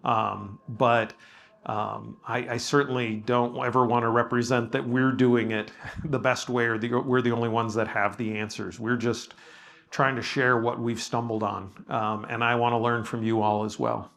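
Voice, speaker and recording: A man of ordinary pitch speaks as a pastor guiding a workshop discussion. He talks loosely and humbly, stumbling through restarts and filler sounds. His tone stays conversational as he asks the room questions. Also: level tone, normal speed, vocal fry, fairly bright tone. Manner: casual, self-effacing, with frequent ums and restarts. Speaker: pastor leading a workshop session. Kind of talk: leading a group discussion. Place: room with a live audience.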